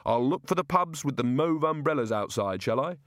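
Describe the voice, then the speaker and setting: A weary runaway wizard in a storybook, as a male narrator plays him, speaks with mild, hesitant politeness and wry resignation. The pitch moves about in a lively way, and the sound is close and dry. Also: brisk, no echo, lightly acted. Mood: polite.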